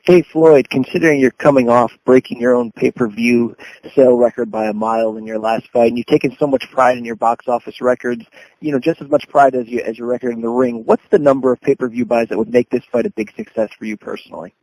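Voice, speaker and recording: A man's voice: mid-range voice, fairly even intonation, quick pace, a little mellow, poor audio. A man working as a sports reporter, asking long, fluent questions on a press call. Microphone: conference call line.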